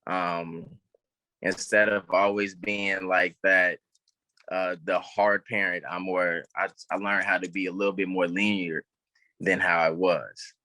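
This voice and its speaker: A man's voice: low voice, little pitch movement, measured pace, bright, forceful tone, dry recording, poor audio. A young man speaking as an actor and interview guest in a casual, chatty style. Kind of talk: casual chat.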